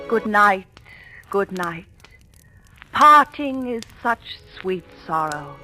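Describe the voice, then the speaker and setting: A woman's voice: mid-range voice, pushed and bright. A woman playing an imperious, selfish stage actress in an old-time radio thriller, with a grand, condescending theatrical manner. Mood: romantic recitation. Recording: vintage radio recording with music.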